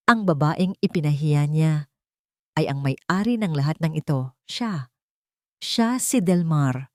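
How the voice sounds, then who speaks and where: Female storyteller, lower register, solemn and deliberate, dry close studio sound. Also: darkish tone, no room sound. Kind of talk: dramatic scripted story narration.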